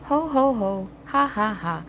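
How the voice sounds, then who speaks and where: A woman's voice: mid-range voice, animated, unhurried, fairly bright tone, slight background noise. A woman voicing a carefree, lazy young male pig in a storybook, with a playful, teasing, sing-song manner.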